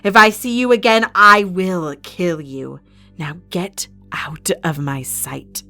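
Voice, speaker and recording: A woman playing a cold, imperious inhuman villain in an audio drama, haughty and menacing, with measured threats. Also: lowish voice, steady tone, sharp tone, faint music behind, exaggerated voice. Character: cold, imperious non-human woman villain running an arena.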